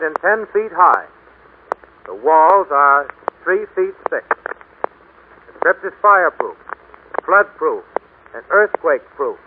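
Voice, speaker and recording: A man presenting scripted narration on 1930s radio, solemn and deliberate with emphatic enunciation. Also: a little high. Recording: tinny, faintly noisy 1930s radio broadcast.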